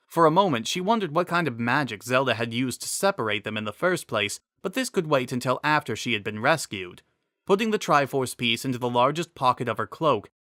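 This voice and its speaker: A man giving an expressive, emphatic dramatic narration of a scripted story. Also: medium pitch, dry recording, clean studio sound.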